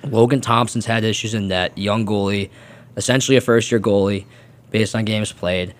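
A man co-hosting a sports talk radio show, giving measured, analytical takes on hockey. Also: lowish voice, fairly even intonation. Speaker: co-host.

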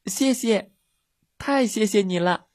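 A storyteller voicing an anxious, excitable little girl in a children's story. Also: mid-range voice, slow, no room sound, clean studio sound, voice pushed up, close to an everyday voice. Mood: grateful. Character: two anxious, excitable little girls out dog-walking.

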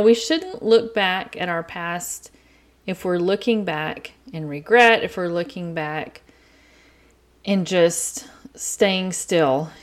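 A middle-aged woman with an East Texas accent, hosting her podcast from a quiet home in an earnest, chatty way. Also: lowish voice. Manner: chatty, earnest, talks faster as she warms up. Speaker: podcast host. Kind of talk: casual solo podcast talk.

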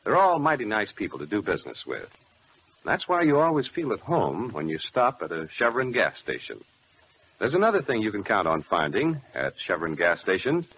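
A male announcer in classic 1940s radio style. His lowish voice moves in lively swells, folksy yet polished, on a dull recording with faint noise. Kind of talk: 1940s radio narration and folksy sponsor pitch. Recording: muffled, noisy old radio recording.

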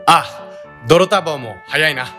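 A man's voice: on the high side, slow, bright, forceful tone, soft background music. A man playing an earnest, panicky young sightseer in a comedic radio drama, speaking in wordy, melodramatic lines. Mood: uneasy, matter-of-fact.

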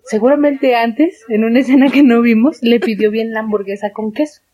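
A woman's voice: on the high side, steady tone, fairly quick, mellow, muffled recording. A young woman co-hosting a podcast, talking casually with a friend in a wry, understated way. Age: young adult. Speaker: podcast co-host. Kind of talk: casual bantering chat with a friend.

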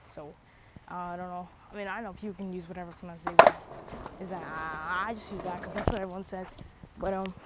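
A young woman chatting casually on a stream, rambling with lots of fillers and slang like bro and dude. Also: on the low side. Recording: dull lo-fi mic with clear background noise.

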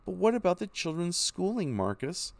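A man doing a gentle frontier mother with a soft, hushed voice. The storyteller raises his pitch for her and keeps her quiet. The reading is dry and close, and the intonation moves a lot. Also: wildly swooping pitch, brisk, no room sound.

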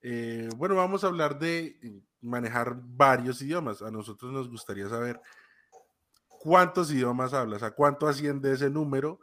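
A man co-hosts a podcast and puts questions to the guest in an easy, friendly way. His voice is mid-pitched and steady. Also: moderate pace. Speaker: podcast co-host. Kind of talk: casual podcast interview.

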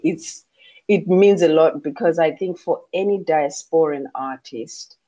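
A woman's voice: low-pitched, warm tone, rough recording. A Zimbabwean woman, a musician, answering interview questions thoughtfully with many fillers and restarts. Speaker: musician guest.

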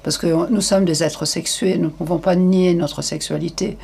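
An older woman giving frank, hesitant interview answers, with many pauses and fillers. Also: lowish voice, fairly even intonation, creaky, darkish tone, slightly rough.

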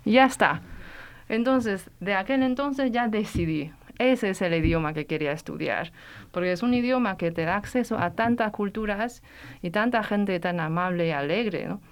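Hesitant woman guest with a smooth voice. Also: medium pitch, animated, moderate pace. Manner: hesitant, many restarts and fillers. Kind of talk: interview answer, personal story.

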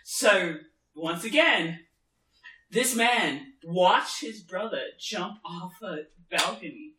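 A man's voice: high-pitched, lively intonation, slow pace, pushed and bright. A man chatting casually as a podcast co-host, emphatic and opinionated, repeating phrases as he builds his point, in a roomy space. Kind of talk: casual TV discussion chat. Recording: roomy, echoey room sound.

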